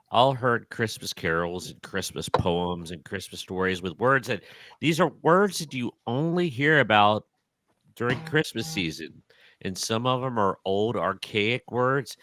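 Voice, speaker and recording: A man's voice: lowish voice, sharp tone, dry recording. A man co-hosting a casual podcast, playful and fond of wordplay and jokey sound effects. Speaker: podcast co-host.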